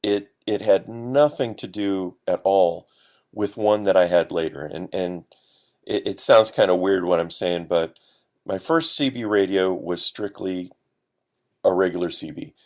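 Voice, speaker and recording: A man from the United States talking as a podcast guest, telling stories casually in a halting, explanatory way. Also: sits low, darkish tone. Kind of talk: casual conversational storytelling.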